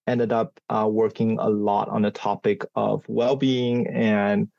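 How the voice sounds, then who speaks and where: A man's voice: on the low side, level tone, measured pace, gravelly, a little mellow, dry recording, dull audio. A man from Singapore, a psychology professor, giving thoughtful interview answers with frequent small laughs and fillers.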